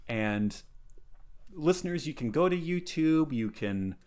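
A man co-hosting a podcast in casual chat, excitable and full of restarts as he explains. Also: a little high, unhurried. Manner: excitable, many restarts and fillers. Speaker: podcast co-host.

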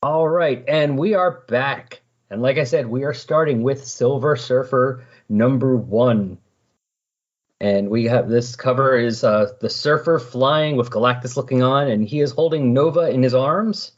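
A man's voice: mid-range voice, unhurried, highs cut off. A man hosting a podcast in casual, unscripted discussion. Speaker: podcast host.

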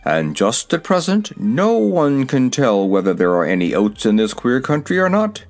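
A man's voice: medium pitch, wildly swooping pitch, moderate pace. A man voicing a grumpy, scornful old cab horse with a growling, world-weary manner, in a storybook reading. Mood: grumbling.

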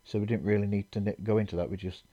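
A man hosting a podcast, chatting in an easygoing, agreeable, measured way. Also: lowish voice, steady tone, dark tone, dry recording. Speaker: podcast host. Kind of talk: casual chat. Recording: dull lo-fi audio.

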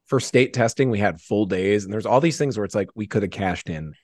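A man in casual podcast chat, excitable and slangy, given to hyperbole. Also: fairly low pitch, a little fast, no echo.

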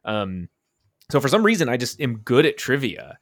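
A man's voice: medium pitch, big pitch swings, moderate pace, no echo. Rambling man in casual chat. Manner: rambling, self-deprecating, thinks aloud.

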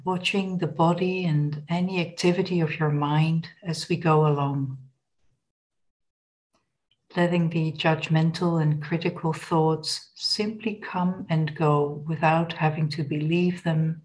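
A woman leading a guided body scan meditation in a gentle, unhurried, instructional manner. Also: low voice, fairly even intonation, rough recording.

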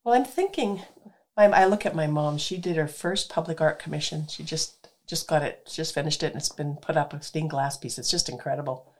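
A woman's voice: low voice, brisk. A woman hosting a radio show, chatting enthusiastically with her guest and laughing easily. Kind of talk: casual interview chat.

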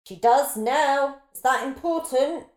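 A woman's voice: sits high, takes it slowly. A woman playing a busy mother of four in an audio drama, wry, patient and dry in her delivery. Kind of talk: naturalistic audio drama dialogue.

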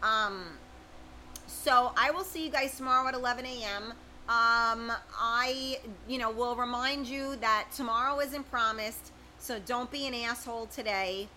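A woman's voice: a little high, unhurried, sharp tone, a little hiss or hum, low-quality recording. A middle-aged woman, hosting a casual livestream, reading viewer comments and chatting with them by name. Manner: chatty, informal, talks straight to viewers by name. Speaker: livestream host.

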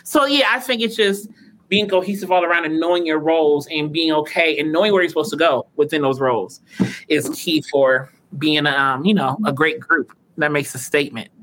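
A male vocal coach, a guest on a talk show, explaining singing in a relaxed, rambling way with lots of "you know", in his naturally high voice. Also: fairly quick, a little hiss or hum, poor audio. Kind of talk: casual interview chat.

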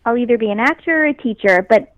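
A young woman with a high, light voice chats easily about her work, upbeat and given to laughing. The sound is dry and close, with no room around her. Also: animated, quick pace, dry recording, poor audio. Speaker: guest actress. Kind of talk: casual interview chat.

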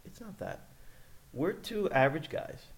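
A man's voice: fairly high pitch, very animated, a little slow, vocal fry. A man co-hosting a casual podcast chat, easygoing and joking. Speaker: podcast co-host.

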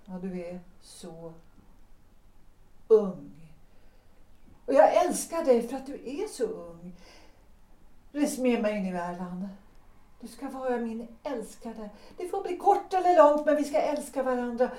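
Actress doing a passionate woman begging for love, mellow low-key voice, roomy echo. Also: animated, pauses often, natural voice. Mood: pleading. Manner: intense, pleading, shifts between hushed and emphatic. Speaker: actor in audio drama. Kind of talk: audio drama acting.

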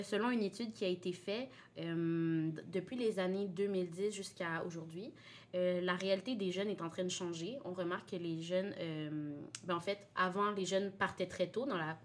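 A woman's voice: fairly low pitch, a little hiss or hum. A young woman hosting a casual podcast, playful and laughing, with English words mixed in. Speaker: podcast host.

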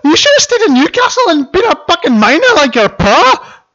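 A man's voice: extremely high pitch, huge pitch swings, brisk, sharp tone, pitched above the performer's own voice, full character voice. A man voicing a melodramatic, taunting young ballet dancer with an unsteady Geordie accent drifting into Scottish, for a spoof film clip. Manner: overwrought, taunting. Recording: dull lo-fi recording with music.

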